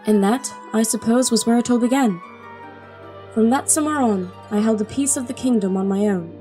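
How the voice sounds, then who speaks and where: A woman plays a devoted teenage princess in an audio drama. Her voice is fairly high and on the soft, mellow side, earnest and articulate, with light music underneath. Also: normal speed, natural voice. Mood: wistful, reflective. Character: earnest, devoted young princess, seventeen, daughter of king. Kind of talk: audio drama acting with first-person narration.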